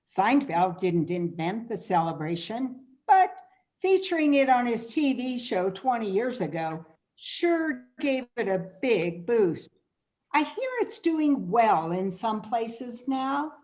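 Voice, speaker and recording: A woman reading the part of a helpful, informative friend in an amateur radio play, explaining things deliberately. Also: on the high side, very animated, darkish tone.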